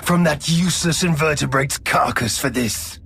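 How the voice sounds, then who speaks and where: An actor playing a haughty, wrathful Sith lord in a video game, with an imperious, menacing, theatrical delivery over music. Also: male voice, fairly high pitch, normal speed, creaky, pushed and bright. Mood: scornful, angry. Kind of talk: dramatic video game acting.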